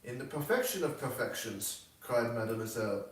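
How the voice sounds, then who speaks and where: A coquettish young Frenchwoman read by a man from a storybook, effusive and shrewd. The voice sits far from the mic in a very echoey room, with formal, flattering turns of phrase. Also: medium pitch, brisk, lightly acted. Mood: eager, flattering.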